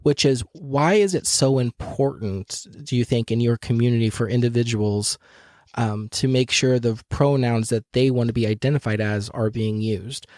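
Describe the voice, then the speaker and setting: A man's voice: medium pitch, normal speed, darkish tone, no echo, clean studio sound. A man co-hosting a podcast, asking a long, winding question with many hesitant fillers. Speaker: podcast co-host. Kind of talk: interview questions.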